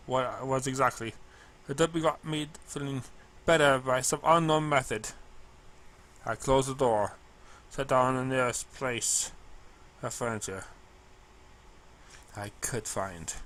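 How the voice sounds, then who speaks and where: A man narrating amateur fan fiction in a halting read, now and then stumbling over words. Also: mid-range voice, a bit bright. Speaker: story narrator.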